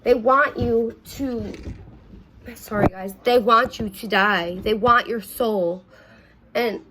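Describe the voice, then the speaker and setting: Young woman, a bit raspy, rambling and earnest, lots of likes, filming at home with some faint noise. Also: a little high, slow pace. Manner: earnest, rambling, many fillers and restarts. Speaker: vlogger. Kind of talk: personal confessional vlog. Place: her apartment.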